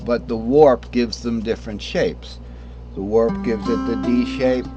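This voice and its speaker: A hoarse man losing his voice, talking through a casual guitar lesson at an unhurried pace. He rambles and corrects himself, clearing his throat often. A guitar plays faintly behind him. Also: medium pitch, fairly even intonation, highs cut off. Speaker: guitar teacher. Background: guitar playing.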